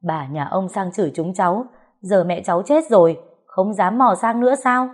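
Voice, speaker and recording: A woman's voice: medium pitch, fairly even intonation, a little slow, warm tone, pitched above the performer's own voice, close to an everyday voice. A woman voicing a sobbing desperate daughter. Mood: pleading. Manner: crying, pleading. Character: desperate grieving teenage girl.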